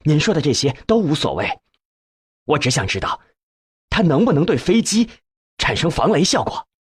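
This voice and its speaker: An earnest male researcher, voiced by an audiobook narrator, speaks politely but urgently. The voice is husky and expressive, pressing forward with respectful questions. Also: on the high side, normal speed, no echo, studio quality, voice pushed up, heavily acted.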